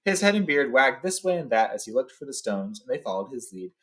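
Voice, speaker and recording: A man's voice: mid-range voice, very animated, fairly quick, fairly bright tone. A man hosting a book read-along, informally telling and reading a story aloud.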